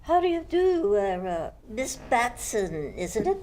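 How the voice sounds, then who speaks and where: A woman's voice: a little high, very animated, unhurried, a little mellow. A woman acting a flustered, aloof gallery owner in an old-time radio mystery. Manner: fluttery, confused, haughty. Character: flustered, aloof wife who owns an art gallery. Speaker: amateur radio club performer.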